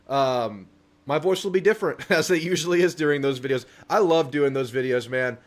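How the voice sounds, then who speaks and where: A young man hosting a casual live stream, reading viewer messages aloud and answering them. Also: a little high. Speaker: livestream host.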